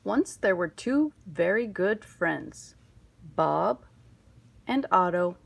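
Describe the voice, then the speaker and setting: Female picture-book reader, slow and deliberate, expressive narration for young children. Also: fairly low pitch, gravelly, husky. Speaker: storybook reader.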